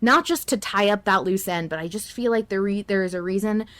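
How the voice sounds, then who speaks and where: A woman with a mid-pitched voice hosts a casual fan podcast. She talks in an excitable, emphatic manner. The recording is dry and close. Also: fairly quick, no echo. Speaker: podcast host.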